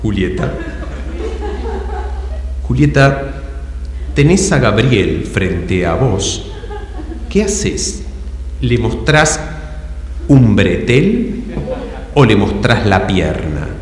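A Rioplatense improv narrator speaks in a reverberant, noisy hall. The voice is lively and mid-pitched, teasing as it lays out choices with emphasis. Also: very slow, pauses often. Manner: teasing, emphatic, repeats prompts. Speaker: improv game narrator and player. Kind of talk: improvised stage comedy, posing choices. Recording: echoey hall, clear background noise.

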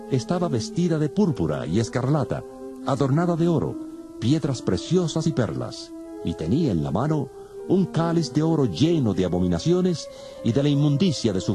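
A man narrating scripture in a solemn, dramatic audiobook style. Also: mid-range voice. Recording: loud music under the voice.

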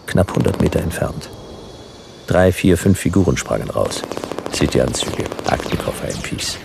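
An actor playing a world-weary detective, narrating a sci-fi radio play in dry, clipped noir fragments with a gravelly voice. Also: male voice, a bass voice, background noise, heavily acted. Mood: terse, tense. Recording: music behind the voice.

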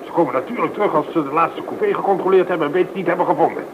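A man's voice: fairly high pitch, racing, close to an everyday voice. An actor playing a sensible, practical man in a radio play. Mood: level-headed, practical. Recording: muffled, noisy old radio recording.

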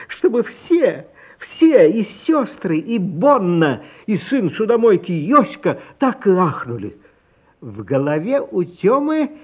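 A man's voice: high-pitched, highs cut off. A man narrating a story for a radio play in a dramatic, scripted style.

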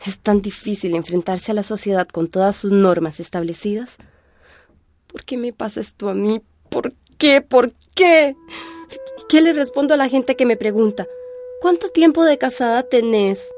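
A woman's voice: a little high, moderate pace, darkish tone, faint music behind, lightly acted. A woman acting an anguished, lonely young pregnant woman in a radio soap opera, with an emotional, confiding delivery full of sighing exclamations. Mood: ashamed, distressed.

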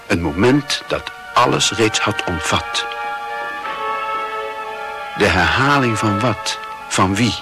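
A man reading literary narration for a radio play in a deliberate, dramatic, reflective manner. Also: sits low.

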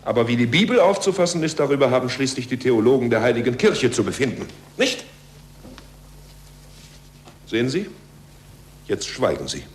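An actor playing an urbane, authoritative, eloquent cardinal in a 1940s German radio play, on an old recording. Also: male voice, mid-range voice, very animated, moderate pace, long pauses, natural voice. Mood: grave, admonishing. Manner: eloquent, rhetorical. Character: urbane, worldly cardinal, courteous yet firmly authoritative.

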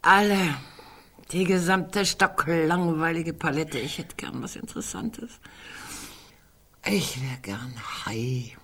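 Husky, sardonic old woman. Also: deep voice, takes it slowly, a bit bright, heavily acted. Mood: sardonic, restless. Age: older. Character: sardonic, gloomy elderly woman, sharp-tongued with her housemate. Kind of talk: German radio drama acting.

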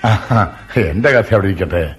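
Low-voiced, dignified old Malayali landlord. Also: male voice, animated, a little slow. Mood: reflective. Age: older. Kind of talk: radio drama acting.

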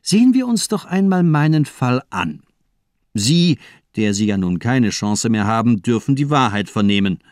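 Actor as a coldly amused villain doctor, swooping intonation. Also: male voice, mid-range voice, very animated, moderate pace, darkish tone, dry recording, clean studio sound, natural voice. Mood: amused, methodical. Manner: precise, mocking, formal, lecturing. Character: cold, amused villain doctor, murderous and pedantic. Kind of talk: radio crime drama acting.

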